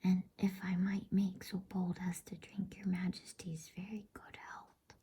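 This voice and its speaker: A woman's voice: fairly low pitch, level tone, measured pace, dark tone, breathy edge, voice pushed up. A woman voicing a nervous, deferential old male fox in a whispered bedtime storybook reading. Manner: polite, nervous, stammering.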